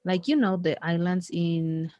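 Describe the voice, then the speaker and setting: A woman's voice: fairly low pitch, unhurried, mellow, dry recording, dull audio. A woman chatting loosely in a one-on-one conversation lesson, with many fillers and restarts. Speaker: conversation tutor. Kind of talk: casual conversation practice.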